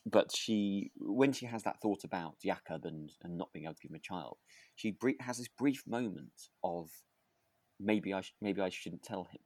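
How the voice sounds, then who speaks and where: A man's voice: low-pitched, normal speed, darkish tone, no room sound. A man co-hosting a podcast in casual chat, rambling and often correcting himself as he goes. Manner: hesitant, rambling, self-correcting. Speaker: podcast co-host. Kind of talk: casual chat reviewing a radio drama.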